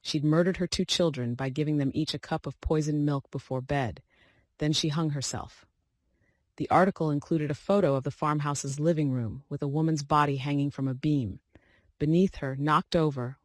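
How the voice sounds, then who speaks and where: A man's voice: a little high, no room sound. A man narrating short spooky stories from a script.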